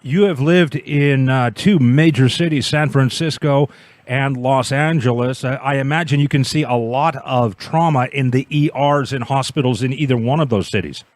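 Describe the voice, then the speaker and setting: A man of middling pitch hosts the program and leads the interview. He asks in a measured, politely probing way. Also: darkish tone, dry recording. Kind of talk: interview hosting.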